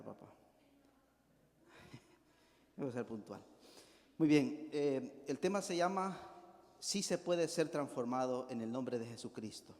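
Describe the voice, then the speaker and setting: A man's voice: mid-range voice, a little mellow. A middle-aged Salvadoran man preaching a sermon to his congregation, folksy, often asking the congregation to agree with him as he tells a homely story. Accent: Salvadoran Spanish. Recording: long reverb of a church hall.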